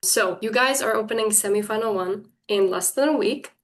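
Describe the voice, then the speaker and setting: A woman's voice: mid-range voice, moderate pace. A young woman interviewing a music artist, enthusiastic and chatty like a fan.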